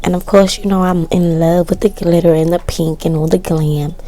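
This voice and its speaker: A woman narrating her sewing project in a casual, chatty voice-over, her voice worn and cracking from yelling, with self-mocking, shrugging asides. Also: lowish voice, steady tone, normal speed. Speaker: sewing vlogger.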